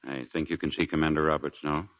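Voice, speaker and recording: An actor playing a grave, discreet military doctor in a 1940s radio drama. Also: male voice, very deep voice, level tone, fast. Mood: solemn, hushed. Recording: muffled old radio recording.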